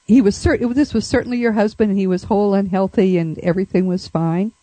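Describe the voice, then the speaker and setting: A woman's voice: medium pitch, fairly even intonation, fast talker, mellow, no room sound, low-quality recording. A woman hosting an interview, asking questions in an enthusiastic, encouraging way. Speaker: interviewer host. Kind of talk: conversational interview.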